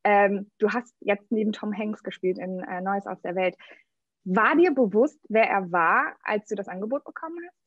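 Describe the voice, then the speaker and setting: A woman interviewing in a friendly, chatty way, often pausing with hesitant fillers. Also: mid-range voice, no room sound, muffled recording. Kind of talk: casual interview questions.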